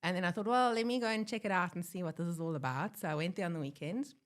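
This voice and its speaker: A woman's voice: fairly low pitch, quick pace. A woman from Africa, telling her story as a guest in a casual interview, laughing often. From born in Germany, grew up in South Africa. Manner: chatty, laughs often, acts out quotes. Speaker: guest, airline pilot.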